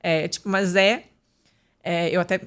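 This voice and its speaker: A woman chats openly as a podcast guest. Her voice sits a little low for a woman, and she talks loosely, with plenty of fillers and restarts. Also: fairly even intonation, unhurried, a bit bright, clear, resonant tone. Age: 30s. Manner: candid, chatty, with many fillers and restarts. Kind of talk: casual interview chat.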